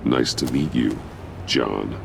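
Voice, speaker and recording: Game role: brooding masked crimefighter, extremely low and creaky, few words, guarded. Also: male voice, takes it slowly, noisy background, heavily acted. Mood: guarded, stern. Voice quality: gravelly. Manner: terse, brooding. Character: grim, guarded adult male masked vigilante hero. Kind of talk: video game voice acting. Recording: loud game music behind the voice.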